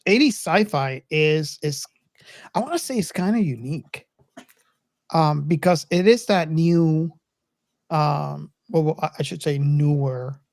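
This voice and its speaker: Female podcast host, middle-aged, low register, halting reflective delivery, casual movie talk, dry sound. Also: lively intonation, darkish tone, dry recording. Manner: reflective, halting, circles back over phrases. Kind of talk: casual film discussion.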